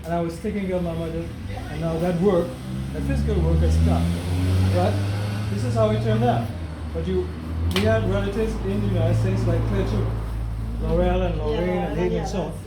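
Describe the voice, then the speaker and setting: An older man with a Trinidadian accent speaks earnestly to his gathered family, leaning on repeated phrases like a sermon. His voice sits high. The room is echoey, with loud noise behind him. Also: brisk. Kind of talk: impassioned speech at a family gathering. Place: home gathering after a funeral service.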